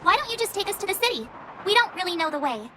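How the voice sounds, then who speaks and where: Very high, bright perky girl. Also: sing-song, fast, a little hiss or hum, full character voice. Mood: impatient. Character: perky, blunt teenage girl adventurer. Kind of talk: video game voice acting.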